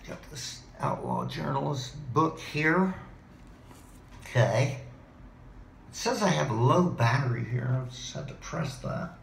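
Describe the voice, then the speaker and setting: A middle-aged man who is hoarse and losing his voice, rambling excitedly from topic to topic in a home video, talking into a desk mic on a boom arm in his living room. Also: unhurried, long pauses. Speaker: vlogger. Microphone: desk mic on a boom arm, webcam framing. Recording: roomy home echo.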